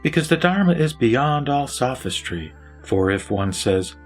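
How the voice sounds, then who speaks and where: A man's voice: mid-range voice, big pitch swings, measured pace, voice pushed up. A man voicing Vimalakirti, a wise lay sage teaching in a solemn, earnest way over faint music.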